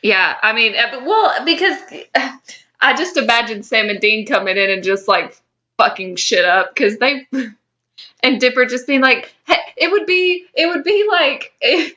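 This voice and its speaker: A woman's voice: fairly high pitch, animated, measured pace, bright, forceful tone, dull audio. A woman co-hosting a podcast, chatting casually in a giggly, rambling, informal way with some swearing. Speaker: podcast co-host. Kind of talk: casual fan chat.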